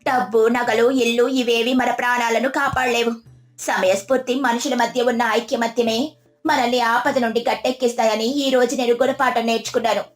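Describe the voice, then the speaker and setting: A woman voicing a humbled, grateful village woman in an animated moral story, speaking in an earnest, emotive way. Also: a little high, moderate pace, faint music behind, lightly acted.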